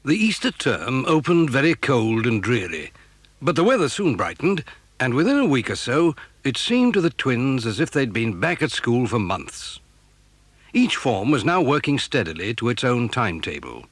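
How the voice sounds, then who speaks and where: Male narrator, for a children's story. Animated intonation, husky edge, dry sound. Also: medium pitch, moderate pace, no room sound.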